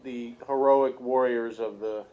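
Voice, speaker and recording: A man's voice: medium pitch, fairly even intonation, unhurried, dark tone, dull audio. A man co-hosting a review show in casual, joking chat with a teasing manner. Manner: teasing, jokey.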